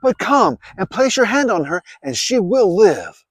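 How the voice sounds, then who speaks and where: A man puts on a desperate supplicant in a scripture story. The voice is high, strained and earnest, swinging widely as it pleads for healing. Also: very animated, unhurried, breathy edge, dry recording, studio quality, heavily acted. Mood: pleading.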